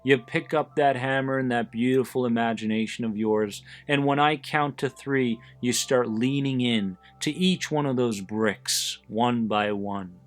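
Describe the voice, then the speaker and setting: A man narrates a guided meditation at a very slow pace, with music playing behind him. He speaks in short, deliberate phrases with pauses between them. His manner is soothing and his pitch sits in the middle range for a man. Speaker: host of an anxiety support podcast, guiding listeners. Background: background music.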